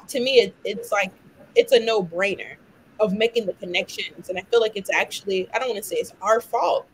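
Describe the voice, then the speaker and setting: A woman's voice: mid-range voice, a little hiss or hum, poor audio. Passionate woman talking with lots of "like". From born in London, raised in Miami. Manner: passionate, frequent "like" and "right?". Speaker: guest, activist. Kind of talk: conversational discussion.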